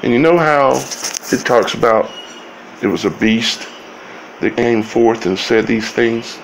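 A man giving informal scripture commentary as a video host, speaking in halting, broken phrases with long pauses. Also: medium pitch, gravelly, a little hiss or hum, poor audio.

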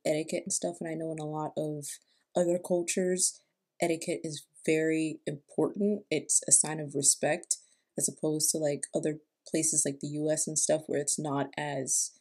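A woman's voice: deep voice, dark tone. A young woman giving casual reaction commentary as a video host in a room at home. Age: young adult. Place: small room indoors at home.

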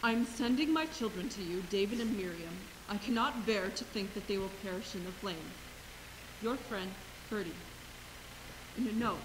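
A young woman acting a resolute, compassionate Mother Superior in a stage play, with earnest, deliberate delivery. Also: mid-range voice, close to an everyday voice. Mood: solemn, grieving. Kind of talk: amateur stage acting in a high school play. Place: theater stage, indoors. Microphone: distant camera. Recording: hissy stage recording from audience camera.

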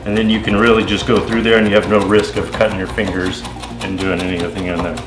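A man in his forties co-hosting a home cooking show, explaining steps in a relaxed, plain-spoken way with ums and uhs. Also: sits low, fast talker, background noise. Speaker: home cook, show co-host. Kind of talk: casual cooking demonstration. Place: home kitchen, indoors. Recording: echoey kitchen with faint music. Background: background music.

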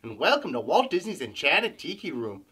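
A man's voice: on the high side, huge pitch swings, normal speed, pushed and bright, breathy edge, exaggerated voice. A man voicing a cheerful, theatrical parrot show host with a Spanish accent and a showy, exclamatory manner. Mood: showy, excited. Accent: Spanish-accented English.

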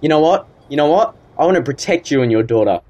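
A man's voice: on the high side, expressive, quick pace, dark tone, highs cut off. A man voicing a pushy, easily offended rookie phone scammer on a prank call, whiny and wheedling, repeating himself. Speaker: prank caller.

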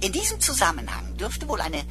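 A woman's voice: medium pitch, huge pitch swings, fairly quick, music in the background. A woman playing a sarcastic talking computer in a radio drama, playful, mocking and theatrical. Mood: playful mockery. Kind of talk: German radio drama acting.

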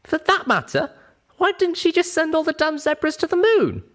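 An anxious young pony mare voiced by a man with a very high falsetto. She is small and earnest, a storybook heroine full of questions. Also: huge pitch swings, normal speed, a bit bright, heavily acted. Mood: urgent questioning. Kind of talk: audiobook character voice.

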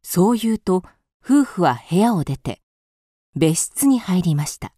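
A woman's voice: fairly low pitch, sing-song, unhurried, dark tone, no room sound, clean studio sound. A woman reading scripted narration for a radio drama in a composed storytelling manner.

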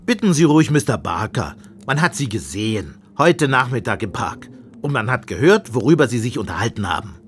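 Actor as combative police inspector, very animated. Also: male voice, medium pitch, a little fast, slight background noise, close to an everyday voice. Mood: accusing. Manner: sarcastic, indignant. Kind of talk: German radio play acting.

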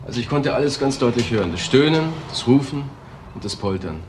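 An actor playing a sober, sceptical detective's assistant in a crime drama, terse and matter-of-fact. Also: male voice, medium pitch, lively intonation, fairly quick, background noise, lightly acted. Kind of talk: German crime drama acting.

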